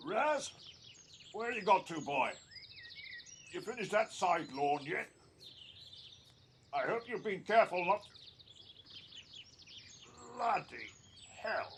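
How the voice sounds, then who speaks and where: A man's voice: sits high, fairly bright tone, a little hiss or hum, heavily acted. A man playing a working-class husband in an audio play, with a colloquial, homely, coaxing way of talking. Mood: exasperated.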